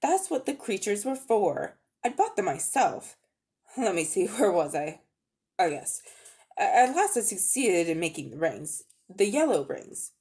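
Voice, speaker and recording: Storybook uncle: elderly, pompous amateur magician, very animated delivery female reader playing male. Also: mid-range voice, measured pace. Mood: impatient. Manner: pompous, self-important, easily affronted. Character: vain, pompous, grey-haired old uncle, an amateur magician.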